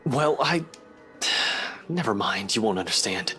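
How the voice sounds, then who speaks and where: A man's voice: fairly low pitch, animated, slow pace, bright, forceful tone, soft background music, full character voice. An actor playing a stubborn, sardonic male police detective in a video game, dry and resigned. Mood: dry, exasperated.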